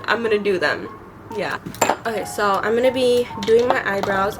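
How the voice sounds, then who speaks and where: A young woman vlogging while she does her makeup, rambling with lots of "like" and "um", recovering from being sick. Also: mid-range voice. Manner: rambling, many fillers like "like" and "um". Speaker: beauty vlogger. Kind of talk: casual chat while doing makeup. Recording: clear background music.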